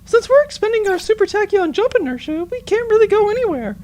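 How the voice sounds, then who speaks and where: An actress plays a woman officer aboard a spaceship with a very high-pitched voice. She is patient and wry, matter-of-fact in a comedic audio drama. There is faint noise behind her. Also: sing-song, quick pace, darkish tone. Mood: patient, dry.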